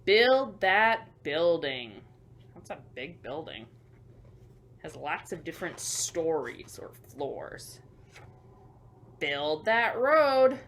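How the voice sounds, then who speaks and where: A woman hosting a children's storytime, reading picture books aloud with emphatic delivery and hushed asides. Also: deep voice, sing-song, drawn-out words, long pauses, a little hiss or hum. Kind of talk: picture book read-aloud for young children.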